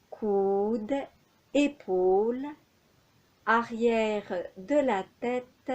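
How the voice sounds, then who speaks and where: A woman leading a guided yoga nidra relaxation as an instructor, giving calm, soothing, measured instructions with long pauses. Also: a little high.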